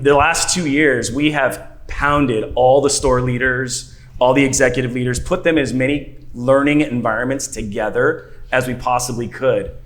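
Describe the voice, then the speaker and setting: A man speaking on a conference panel, telling a workplace story with animated, shifting pace in a room with an audience. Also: medium pitch.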